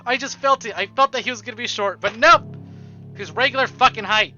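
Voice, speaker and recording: A man streaming a visual novel, reading text aloud and commenting with free swearing in an animated manner. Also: very high voice, fairly quick, muffled recording. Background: game music.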